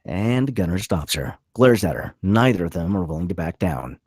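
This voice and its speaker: A man's voice: low voice, sing-song, normal speed, darkish tone, breathy edge, dry recording, clean studio sound. A man reading scripted stage directions as the narrator of a screenplay.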